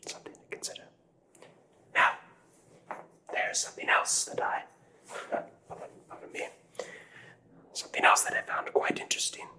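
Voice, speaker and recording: A man's voice: moderate pace, long pauses, pushed and bright, low-quality recording. A man playing a nervous, courteous doctor in a whispered ASMR mystery roleplay, speaking in formal, hesitant phrases. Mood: earnest, curious. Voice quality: breathy whisper. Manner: formal, hesitant, old-fashioned polite phrasing. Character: nervous, courteous, perplexed doctor aiding a murder investigation.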